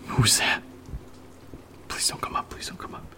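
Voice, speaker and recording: A man's voice: normal speed, in a whisper, natural voice. A man playing a jittery fellow in a horror audio drama, stammering, swearing and rambling to himself. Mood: panicked. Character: jittery man nervously talking to himself in an attic. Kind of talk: naturalistic solo horror audio drama acting.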